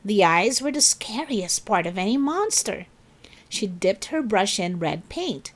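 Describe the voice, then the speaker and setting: A woman hosting and narrating a children's story in an expressive, deliberate read-aloud style. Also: mid-range voice. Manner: slow, deliberate, animated delivery for children. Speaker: story reader and channel host.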